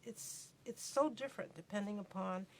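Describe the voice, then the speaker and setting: A woman's voice: medium pitch, normal speed, slight background noise. A woman guest expert in an interview, often chiming in with agreement. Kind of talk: conversational interview.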